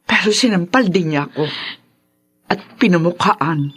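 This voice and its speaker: A woman's voice: fairly low pitch, expressive, a little slow, a bit bright, faint music behind. An actress playing a haughty, aggrieved middle-aged mother in a radio drama, speaking with indignation.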